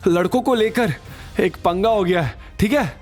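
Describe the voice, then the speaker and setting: Guy doing whiny bully in an audio drama, high strained voice, aggrieved n blustering, wants payback. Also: animated, moderate pace, a little mellow, faint music behind. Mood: scheming. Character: whiny, vengeful bully humiliated after a beating.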